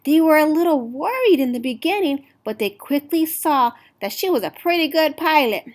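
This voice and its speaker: A woman reading a picture book aloud to children in an expressive, deliberate storytelling manner. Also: high-pitched. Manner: deliberate, animated storytelling with emphasized words.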